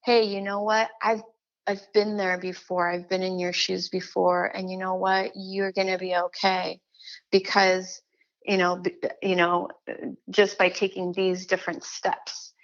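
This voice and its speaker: A middle-aged woman from Chile talking as an interview guest, animated, with many restarts and fillers. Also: medium pitch, measured pace, muffled recording. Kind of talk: casual interview chat.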